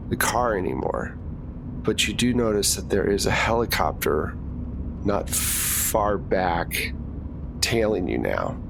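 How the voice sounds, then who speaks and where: A man acting as game master, narrating a tabletop game in a halting, conversational way. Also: mid-range voice, noisy background. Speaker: game master, podcast host. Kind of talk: improvised tabletop game narration and casual chat.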